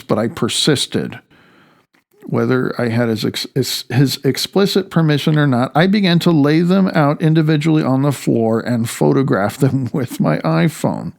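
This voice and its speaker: A man with a gravelly voice narrates his own nonfiction audiobook, reading a little haltingly with small stumbles and self-corrections. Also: medium pitch, darkish tone.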